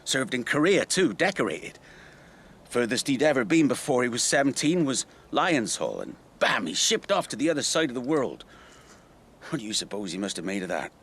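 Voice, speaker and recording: An actor playing a rueful rural man in a naturalistic radio drama, telling his memories in a halting, conversational way. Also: mid-range voice, sing-song, fairly bright tone, husky, heavily acted. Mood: earnest, hesitant. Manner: halting, reflective, conversational storytelling. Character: rueful rural man recalling an old friend's tragedy.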